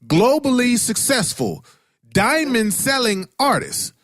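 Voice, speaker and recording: A man's voice: extremely high pitch, big pitch swings, slow, fairly bright tone, no room sound, clean studio sound. A man in a casual interview conversation, speaking colloquially and emphatically, often checking that listeners follow.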